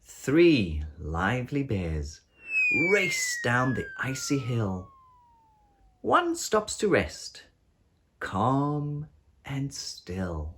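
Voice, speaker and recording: Man, children's story narrator, very slow pace, hugely varied sing-song pitch, expressive read-aloud delivery. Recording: faint background noise with sound effects.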